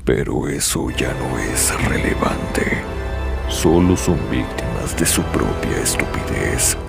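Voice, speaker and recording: Man acting a deep-voiced, creaky demon lord. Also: expressive, takes it slowly, over loud music, exaggerated voice. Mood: cold contempt. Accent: Latin American Spanish. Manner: imperious, disdainful. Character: cold, contemptuous, menacing demon lord. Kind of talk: anime fan dub acting.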